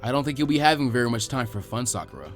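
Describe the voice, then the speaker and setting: A man voicing a calm, laid-back adult ninja mentor in an anime fan fiction reading, subdued and mildly chiding. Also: mid-range voice, racing, soft background music, voice pushed down, close to an everyday voice. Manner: subdued, even, half-hearted chiding.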